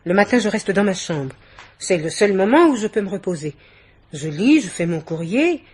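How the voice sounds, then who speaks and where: An actress playing a dignified, guarded middle-aged guardian aunt in a French radio mystery play, speaking with polite haughtiness. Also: female voice, mid-range voice, sing-song, normal speed, breathy edge. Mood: guarded, offended. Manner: polite, haughty, carefully articulated indignation.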